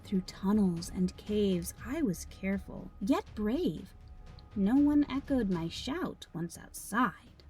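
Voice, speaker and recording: A woman narrating a children's read-aloud in an expressive, dramatic storytelling style. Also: mid-range voice, slow pace. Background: music.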